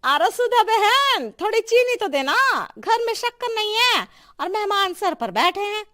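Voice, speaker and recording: A woman's voice: extremely high pitch, big pitch swings, a little slow, pushed and bright, exaggerated voice. A woman voicing a chatty, friendly neighbour aunt in a Hindi cartoon story.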